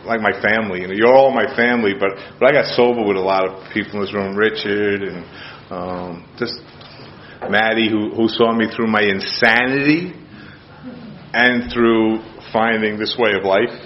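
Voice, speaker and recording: A man's voice: lowish voice, fairly even intonation, normal speed, slight background noise. A man from Queens giving a plain-spoken, self-deprecating talk at a meeting, full of restarts and a little choked up. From Queens, New York. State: choked up with emotion. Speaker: speaker at a recovery meeting. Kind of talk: personal recovery talk to a group. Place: meeting room. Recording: dull lo-fi room recording with faint ambience.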